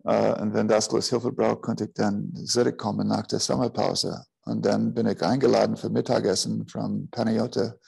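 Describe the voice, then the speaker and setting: Gravelly low-voiced man. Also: flat delivery, a little mellow. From United States. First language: English. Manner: halting, searching for words in a second language. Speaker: teacher of a healing school. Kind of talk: personal storytelling in a talk.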